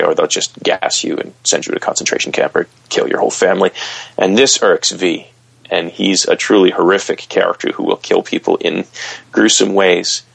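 A man's voice: deep voice, gravelly, fairly bright tone, slightly rough, dry recording. A man guesting on a podcast interview, describing favorite characters with enthusiastic storytelling. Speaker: guest author.

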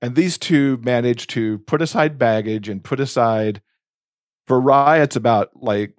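A man with a slightly low voice talks in an effusive, casual podcast style. His delivery is emphatic and conversational, and the sound is very dry and close. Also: unhurried, dry recording. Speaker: podcast co-host recommending books. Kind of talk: casual enthusiastic book chat.

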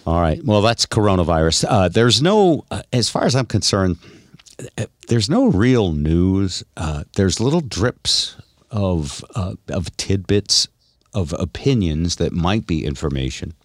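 Very deep-voiced man, dry recording. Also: sing-song, unhurried, no room sound. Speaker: radio show podcast co-host. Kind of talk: casual talk and commentary.

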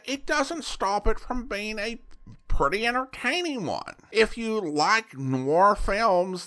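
A man giving measured, conversational and opinionated review commentary as the host of a show. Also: high-pitched, fairly bright tone. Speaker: host reviewing old radio drama. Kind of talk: semi-scripted review commentary.

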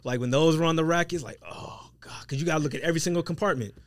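A man's voice: on the high side, rapid-fire, no room sound. A man co-hosting a casual sneaker podcast, informal and opinionated in how he talks. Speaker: podcast co-host.

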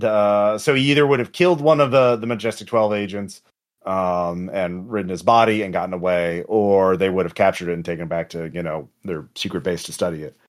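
Low-voiced man explaining a tabletop game, halting. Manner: halting, trailing into pauses, sweary. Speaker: game master of a tabletop role-playing podcast. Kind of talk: casual post-game explanation.

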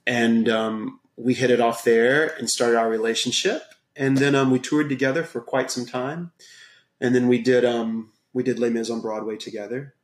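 A man from Texas, a podcast guest, telling his life story in a relaxed, casual way with many pauses and ums. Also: medium pitch, echoey room. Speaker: podcast guest, gym owner. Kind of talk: casual interview talk.

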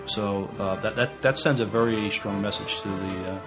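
A man co-hosting a podcast, talking casually about films in a halting, stammering way. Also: on the low side, fairly even intonation, over music, rough recording. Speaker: podcast co-host. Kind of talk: casual film review chat.

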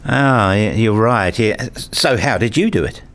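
A man's voice: on the low side, animated, measured pace, a bit bright. An actor playing an enthusiastic, curious grown man who narrates his visit in a scripted radio play. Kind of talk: scripted first-person narration and acted dialogue.

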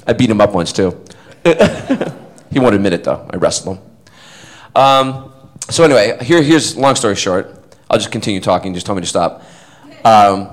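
A man, an actor, telling rambling stories to a live audience at a fan panel. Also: lowish voice. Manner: animated, rambling, self-interrupting. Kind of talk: casual storytelling to an audience.

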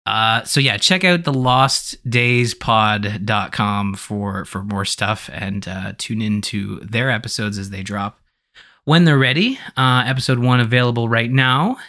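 A man hosting a podcast in casual conversation, talking in an animated, rambling way as he thinks aloud. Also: fairly low pitch, measured pace. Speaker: podcast host.

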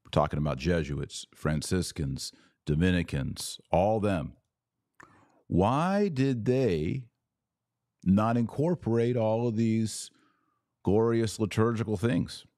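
Male podcast host, very deep and slow, sardonic and emphatic commentary, dry close mic. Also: mellow, dry recording. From Texas. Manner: sardonic, emphatic, shifts pace often. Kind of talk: opinionated solo commentary.

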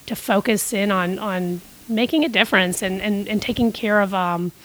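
A woman's voice: medium pitch, steady tone, moderate pace, a little hiss or hum, low-quality recording. A middle-aged woman who grew up in Costa Rica, telling long stories as a podcast guest with many restarts and fillers. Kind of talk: casual interview storytelling.

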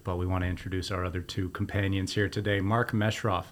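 A man with a fairly low voice hosts an interview podcast. He speaks conversationally to his guests from a sound studio. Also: flat delivery, fast, slight background noise. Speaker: podcast host. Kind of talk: scripted intro and conversational interviewing.

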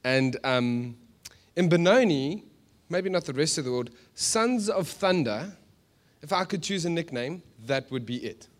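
A South African man preaching conversationally to a congregation, animated, with joking asides and rhetorical questions. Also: on the high side, moderate pace. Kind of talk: sermon to a live congregation, conversational storytelling.

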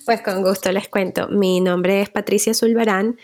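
A woman from Venezuela, a journalist guest chatting casually and telling stories in an animated way. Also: medium pitch, moderate pace, no room sound. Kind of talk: casual chat.

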